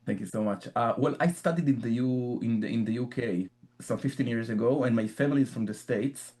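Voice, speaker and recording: A man's voice: medium pitch, brisk, darkish tone. A man with an Israeli accent, answering interview questions as an author, often repeating words as he searches for phrasing. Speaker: author guest. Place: in Jerusalem, remote line.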